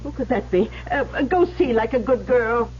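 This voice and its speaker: A woman's voice: fairly high pitch, moderate pace, close to an everyday voice. An actress playing an anxious, conciliatory middle-aged mother and farm wife in an old radio drama, fretful and soothing. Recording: muffled, faintly noisy vintage radio recording.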